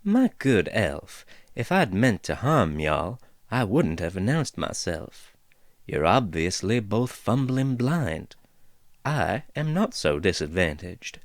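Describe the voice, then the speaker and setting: Rambling folksy she-dwarf, a man doing the voice, lively singsong drawl, dry close sound. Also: lowish voice, slow, no echo, full character voice. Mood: drawling, amused. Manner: meandering, drawling, folksy turns of phrase.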